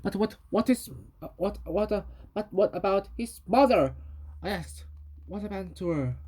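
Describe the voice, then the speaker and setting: A woman reading a children's story aloud in a halting way, repeating and correcting words as she goes. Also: medium pitch, expressive, mellow, husky.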